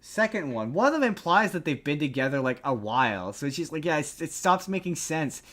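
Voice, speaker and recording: A man hosting a podcast, chatting casually through entertainment news in a rambling way with animated asides. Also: fairly high pitch, a little fast. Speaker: podcast host.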